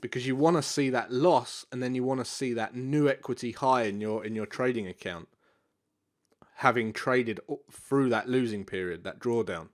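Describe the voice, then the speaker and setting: A man talks through trading charts in a loose, unscripted way, often stopping to repeat himself. His voice sounds congested and bunged up, as if he has a cold. The pitch sits in a middle range for a man. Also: moderate pace. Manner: relaxed, repeats himself, many fillers. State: congested, stuffy nose. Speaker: forex trading educator. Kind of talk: live market analysis walkthrough.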